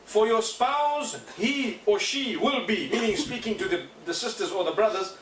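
A man giving a calm religious lecture, speaking softly into a desk mic in an indoor lecture room. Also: high-pitched, normal speed. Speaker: preacher in played clip. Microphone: desk mic on stand. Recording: echoey, distant, faint hiss.

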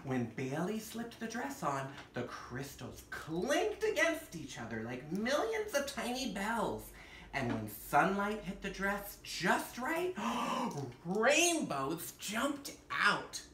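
Theatrical drag storyteller, a man with swooping pitch. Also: huge pitch swings, sharp tone. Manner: theatrical, gasps and dramatic drawn-out words. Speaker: drag performer hosting storytime. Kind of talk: animated storybook reading with playful asides. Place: indoor room with fabric backdrop. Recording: roomy echo, some background noise.

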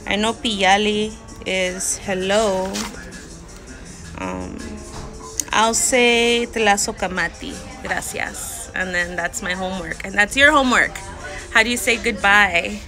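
A woman in her thirties leads a casual vocabulary lesson, saying each word and its meaning slowly and carefully at a middle pitch. She records on a phone held close to her face outdoors, with faint music playing behind. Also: expressive. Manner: slow, careful word-by-word repetition. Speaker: language learner sharing lessons. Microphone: phone held close, selfie framing.

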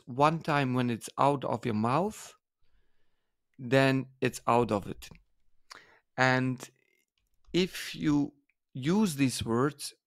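A man with a Dutch accent, a dance expert co-hosting a podcast, who speaks haltingly and searches for words in English. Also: mid-range voice, dry recording, studio quality. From Netherlands. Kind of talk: casual conversation.

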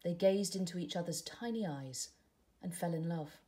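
A woman, a teacher reading a picture book aloud at home with expressive, gentle storytelling. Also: lowish voice, moderate pace. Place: living room at home, indoors.

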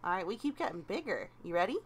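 Animated woman guiding a child's reading. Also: medium pitch, quick pace. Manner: encouraging, prompting with questions. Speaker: parent helping a child read aloud. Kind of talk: casual guided read-aloud with a child. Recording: clear background noise.

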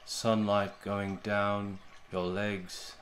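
A man leading a guided meditation in a gentle, soothing, unhurried way, with long pauses between short phrases. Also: fairly low pitch, little pitch movement, a little hiss or hum.

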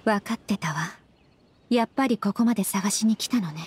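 A woman's voice: mid-range voice, a little fast, slightly rough. Aloof, mysterious spirit lady. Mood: guarded. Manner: cool, curt, guarded. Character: aloof, mysterious female spirit, weary and guarded. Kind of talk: anime game voice acting. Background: faint background music.

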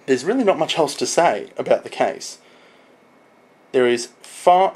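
A young man giving an informal, explanatory product walkthrough on camera as a video presenter. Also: medium pitch. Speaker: video presenter showing computer parts.

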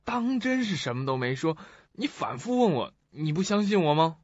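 A man's voice: on the high side, sing-song, normal speed, no room sound, lo-fi sound. A man playing a greedy, crooked lawyer in a suspense radio play, with an oily, ingratiating manner. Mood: evasive. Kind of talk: audio suspense drama acting. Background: music.

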